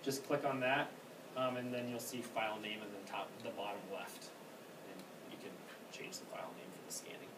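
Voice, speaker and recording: A man giving informal hands-on training as a technician, talking casually and haltingly and often trailing off. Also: on the low side. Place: indoor room at an elementary school. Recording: distant mic, echoey room, clear background noise.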